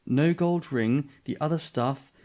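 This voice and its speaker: A man's voice: on the high side, a little slow, a little mellow. A man reading a children's story aloud expressively, as the host of a read-aloud. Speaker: storybook reader, channel host.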